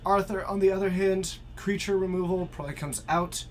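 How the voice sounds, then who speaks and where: A man's voice: high-pitched, fairly even intonation, brisk. A man in his twenties, commentating a live card game stream from a game store with dry joking banter. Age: 20s.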